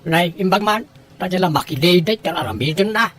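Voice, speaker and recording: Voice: mid-range voice, a little fast, a bit bright, lightly acted. A performer playing a teasing, coaxing adult man in an audio drama, in a conversational manner. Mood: inquiring. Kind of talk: Ilocano audio drama dialogue.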